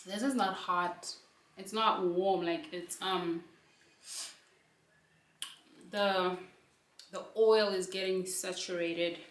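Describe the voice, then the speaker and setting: A young woman chats in a slow, unhurried way, talking to her viewers between bites. Her voice echoes around the room. The mic sits some distance from her. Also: lowish voice, steady tone. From South Africa. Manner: chatty, talks to the camera between bites. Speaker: channel host. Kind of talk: casual chat while eating.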